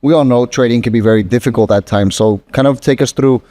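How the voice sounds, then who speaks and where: Hyped male interviewer, warm voice. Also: medium pitch, fast. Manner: hyped, emphatic reactions. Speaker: interviewer host. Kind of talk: casual interview.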